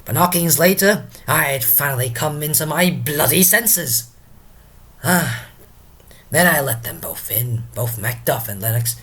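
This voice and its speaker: A man's voice: medium pitch, breathy edge. A man playing a drunken, rambling porter in a theatrical Shakespearean soliloquy, exclamatory and dramatic. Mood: boastful, rueful. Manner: exclamatory, dramatic, swinging from loud calls to hushed muttering. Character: drunken, rambling comic castle porter playing at hell's gatekeeper.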